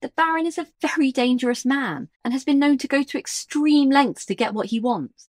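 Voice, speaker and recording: A woman's voice: high voice, a little fast, a little mellow, no room sound, studio quality. An actress playing a frank, well-informed woman who explains things matter-of-factly in an audio drama. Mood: informative, frank.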